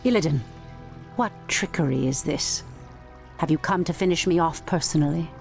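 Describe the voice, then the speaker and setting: A woman's voice: low-pitched, moderate pace, creaky, faint music behind. A woman voicing a proud warrior priestess with a regal, dramatic manner in a video game. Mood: suspicious. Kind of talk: video game cutscene voice acting.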